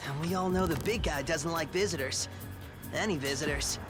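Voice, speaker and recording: A man voicing a goofy, excitable teenage mutant turtle in a cartoon game, talking in surfer-dude slang. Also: on the high side, moderate pace, music in the background, lightly acted. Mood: amazed, joking. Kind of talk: cartoon video game acting.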